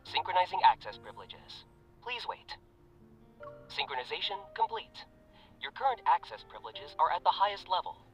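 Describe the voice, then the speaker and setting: Man as a high-pitched, formal device assistant. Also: big pitch swings, normal speed, recorded from a distance, soft background music, rough recording. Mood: impersonal, polite. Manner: formal, instructional. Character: polite, impersonal smartwatch system assistant. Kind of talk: scripted system announcements.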